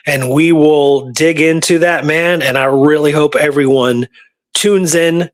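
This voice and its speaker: Enthusiastic man, higher-pitched voice, podcast chat. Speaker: podcast co-host.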